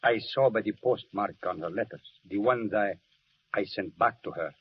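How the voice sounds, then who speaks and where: An actor playing an affectionate Italian army friend with an Italian accent and sing-song broken English in an old radio drama. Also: male voice, fairly low pitch, normal speed, lightly acted. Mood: sheepish, affectionate. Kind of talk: 1940s radio drama acting. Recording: muffled, noisy vintage radio recording.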